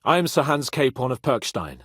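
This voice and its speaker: A lively, haughty young nobleman. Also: mid-range voice, a little fast, slightly rough, no room sound, clean studio sound. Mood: courteous, formal. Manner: haughty, playful, theatrical. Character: cocky young medieval nobleman, haughty, jovial, teasing. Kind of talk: video game character dialogue.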